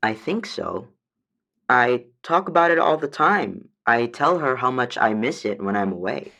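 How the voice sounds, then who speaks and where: A woman's voice: extremely low pitch, expressive, a little slow, a bit bright, heavily acted. A woman voicing a meek, hesitant dryad calling a radio advice show in an audio drama, unsure and trailing off. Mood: defensive, tired. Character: hesitant, meek female dryad, homesick for her forest.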